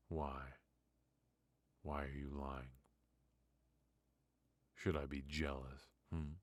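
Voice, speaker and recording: A man playing a ruthless but protective mafia boss in an intimate noir roleplay, murmuring close to the mic with hesitant pauses and quiet menace. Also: extremely low pitch, no echo, heavily acted. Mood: suspicious, menacing. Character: brilliant, ruthless male mafia boss, possessive yet protective. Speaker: voice actor. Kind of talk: noir ASMR roleplay, intimate dramatic monologue. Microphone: close studio mic, dry.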